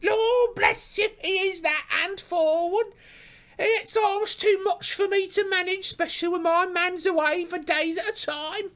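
Shrill, chatty working-class London wife. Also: male voice, steady tone, measured pace, fairly bright tone. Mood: proud, chatty. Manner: deferential, garrulous, colloquial working-class speech.

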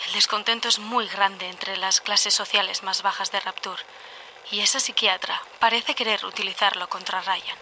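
A woman playing a troubled, observant diarist in an audio drama monologue, measured and confessional. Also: mid-range voice, fairly even intonation, sharp tone, slight background noise, rough recording. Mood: worried, reflective.

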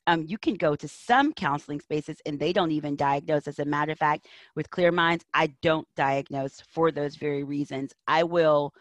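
A woman's voice: sits low, moderate pace, dry recording. A woman speaking as an interview guest, a counselor and educator, giving earnest, emphatic advice in reflective answers.

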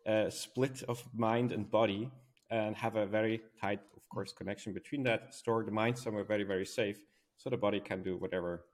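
Low-voiced Swiss man explaining his ideas. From Switzerland. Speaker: podcast guest, robotics company founder. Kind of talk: conversational interview answers.

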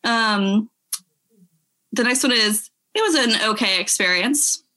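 A woman's voice: fairly high pitch, slow pace, pushed and bright. A woman on a podcast, chatting casually and reading reviews aloud with wry amusement. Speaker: podcast speaker.

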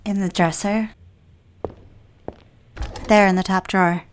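A woman's voice: medium pitch, steady tone, takes it slowly, a little hiss or hum, close to an everyday voice. An actress playing a lovestruck, submissive woman in an audio drama, speaking hesitantly and pleadingly. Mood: submissive, wondering.

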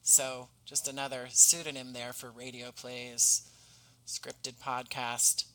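A man speaking as an interview guest, articulate, measured and courteous in conversation. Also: medium pitch, level tone, bright, forceful tone, rough recording. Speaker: podcast guest, playwright and writer.

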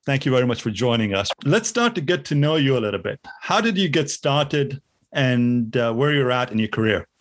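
A man's voice: mid-range voice, big pitch swings, fairly quick. A man hosting a podcast, opening the show and welcoming the guest in an upbeat way. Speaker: podcast host. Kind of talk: podcast intro and interviewing.

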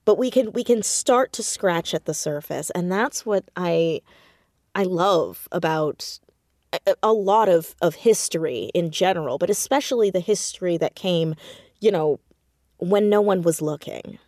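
Woman, lowish pitch, casual interview guest, animated, laughs easily, self-deprecating. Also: unhurried, no room sound, clean studio sound. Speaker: podcast guest, audio drama creator and writer.

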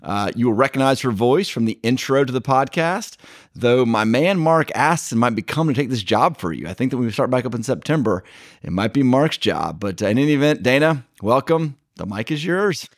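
A man's voice: mid-range voice, expressive, a little fast, no echo, studio quality. A man hosting a casual podcast, talking in a loose, rambling way with fillers and restarts. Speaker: podcast host.

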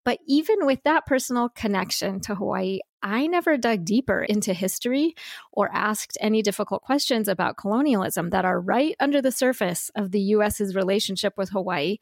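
Woman podcast host, mid-pitched, eager and friendly, dry recording. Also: lively intonation, moderate pace, creaky, no echo. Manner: warm, enthusiastic, reads bio aloud. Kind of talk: interview hosting.

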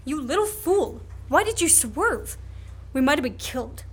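Woman playing a wry fugitive man, high-voiced. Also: sing-song, moderate pace. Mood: urgent. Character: wry man on the run, falsely accused, quick-witted. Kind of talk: radio play acting. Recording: noisy student radio play recording.

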